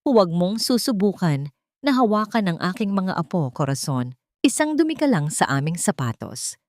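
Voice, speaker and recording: A woman's voice: medium pitch, big pitch swings, normal speed, a little mellow, no echo, clean studio sound, lightly acted. A woman voicing a haughty, cruel rich old grandmother who sneers with contempt. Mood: disgusted.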